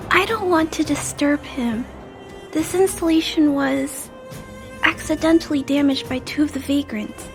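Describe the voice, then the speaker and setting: A woman's voice: high-pitched, unhurried, soft background music. A woman voicing a timid, kind-hearted little girl in a game, speaking in an earnest and hesitant manner. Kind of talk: scripted game voice acting.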